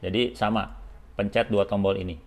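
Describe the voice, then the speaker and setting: A man's voice: lowish voice, steady tone, normal speed, slight background noise. A man. He presents a product tutorial in a casual, hands-on way. His delivery is informal, moving step by step with little check-in tags along the way.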